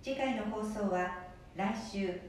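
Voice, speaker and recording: A woman's voice: slow, a little mellow. Female radio drama narrator, scripted, polite delivery, middle register; far from the mic, strong room echo. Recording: very echoey, distant, faint rumble noise.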